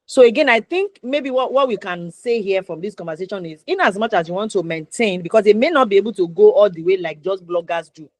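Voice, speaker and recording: A woman's voice: medium pitch, fairly quick, hardly any pauses, no echo. A woman with a Nigerian accent hosting a conversational interview, talking at length and circling back to rephrase her points. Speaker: interview host.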